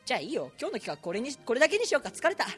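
A young male podcast host chats casually and quickly, his pitch jumping around a lot. He banters bluntly with his friends and teases them. Music plays clearly behind him. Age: young adult. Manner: animated, blunt, teasing banter. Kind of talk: casual joking chat among student friends.

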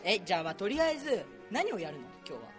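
A young man co-hosting an amateur show with casual, teasing banter and quick retorts. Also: high-pitched, wildly swooping pitch. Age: young adult. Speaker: co-host of an amateur talk show. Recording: music under the voice.

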